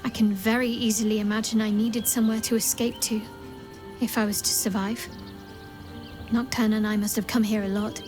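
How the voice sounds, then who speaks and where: A woman's voice: medium pitch, level tone, normal speed, over music, lightly acted. A woman playing a guarded young cleric in a video game, talking in a wry, reflective way. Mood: wry reflection. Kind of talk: naturalistic video game character acting.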